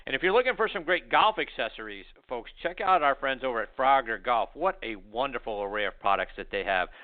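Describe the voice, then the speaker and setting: A man's voice: mid-range voice, lively intonation, a little fast. A man hosting a golf interview show and reading sponsor ads in an upbeat, enthusiastic way. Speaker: podcast host. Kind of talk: interview and ad reads. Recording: tinny phone-like audio.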